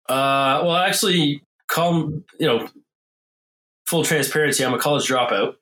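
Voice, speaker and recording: A man's voice: on the high side, a little slow, a bit bright, rough recording. A man in his forties, talking as a podcast guest in a loose, rambling way with many fillers and restarts. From Canada. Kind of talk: casual interview conversation.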